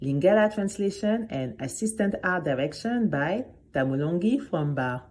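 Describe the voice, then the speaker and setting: A woman reading scripted podcast credits in a subdued, measured manner. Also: on the low side, lively intonation, a little mellow. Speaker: podcast creator and performer.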